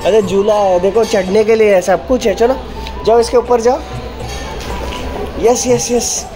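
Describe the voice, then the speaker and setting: A man's voice: high voice, fairly even intonation, unhurried, poor audio. A man, a father filming his small child in an indoor mall play zone on a phone, coaxing and cheering her on with short repeated words. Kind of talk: casual vlog commentary. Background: loud music in the play area.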